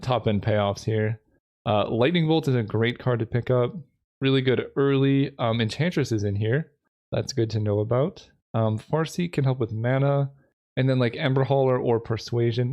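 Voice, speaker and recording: A man with a hoarse voice, casually commenting to himself as he drafts cards for a video, thinking aloud with lots of fillers. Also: medium pitch, darkish tone. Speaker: gaming video creator. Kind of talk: casual commentary while drafting a card game.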